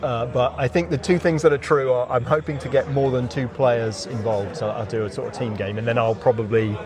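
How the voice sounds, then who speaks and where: A man with an average-pitched voice gives a relaxed, informal interview as a game designer. He is recorded outdoors in a courtyard, with plenty of people chattering behind him. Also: a little fast. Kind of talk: casual chat. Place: outdoors in a courtyard at a gaming event. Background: crowd chatter.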